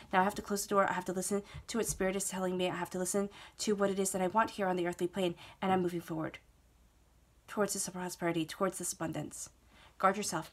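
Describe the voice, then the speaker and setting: Stuffed-up woman giving a steady tarot reading. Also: medium pitch. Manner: steady, reassuring, repeats phrases and checks in with "okay?". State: stuffed up from a cold. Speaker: tarot reader. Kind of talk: solo tarot reading to camera.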